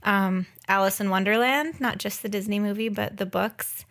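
Woman, thirties, podcast co-host, medium pitch, casual chat, informal, self-deprecating humor. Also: moderate pace, a bit bright. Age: 30s. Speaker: podcast co-host and graphic designer. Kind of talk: casual chat introducing herself.

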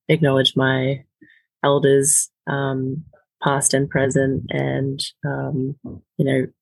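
A woman speaks in a husky voice with a gravelly edge. She talks in a halting, reflective way, filling gaps with soft pauses. The sound is close and dry with no room around it. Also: extremely low pitch, dry recording, rough recording. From Australia. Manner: thoughtful, halting, with many filler pauses. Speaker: actor interviewed as a guest. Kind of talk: casual reflective interview talk.

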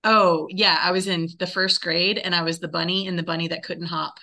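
A woman's voice: fairly low pitch, steady tone, brisk, sharp tone. An American woman in her forties from North Carolina, answering questions as an interview guest in a conversational way. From North Carolina, United States. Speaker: interview guest, actor and movement teacher.

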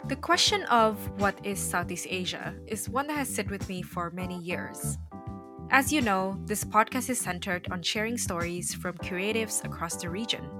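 A woman from Indonesia hosting a podcast, reading a measured, reflective introduction and then chatting casually with a guest. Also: medium pitch, animated, music in the background. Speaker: podcast host.

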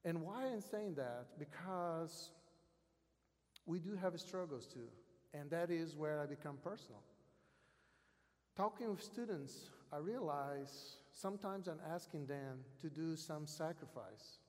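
A man with a South American accent, a non-native English speaker, preaching as a guest missionary in a halting way, repeating phrases for emphasis. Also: fairly high pitch, expressive, a little mellow. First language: not English. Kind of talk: sermon. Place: large hall or church, speaking to an audience. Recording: long hall reverb over PA system.

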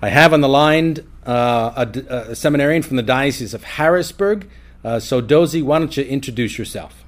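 A man's voice: mid-range voice, moderate pace. A man hosts a conversational podcast interview as a seminary rector.

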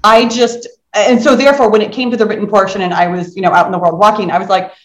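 A woman hosting a casual live book discussion, talking in an animated, conversational way and inviting the group to join in. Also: mid-range voice, fast. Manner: animated, conversational, encouraging audience participation. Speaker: book club host. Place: indoor room on a group video call. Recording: roomy echo.

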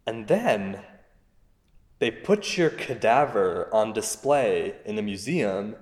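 A man's voice: fairly low pitch, animated, slow pace, a little mellow. A man playing a self-deprecating stand-up comedian, conversational and wry as he delivers a routine. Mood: dry, dark. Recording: echoey venue reverb, faint music.

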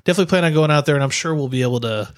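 A man's voice: a little high, rapid-fire, dry recording, clean studio sound. A man co-hosting a podcast with playful, joking casual banter. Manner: jokey, playful. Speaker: podcast co-host.

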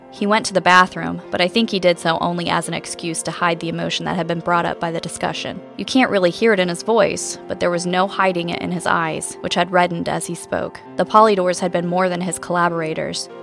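A woman performs an inquisitive podcast investigator in a scripted audio drama. Her voice is bright and forward with a creaky edge. She tells the story in a measured, reflective way. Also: fairly low pitch, fairly even intonation, soft background music. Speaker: podcast host narrator.

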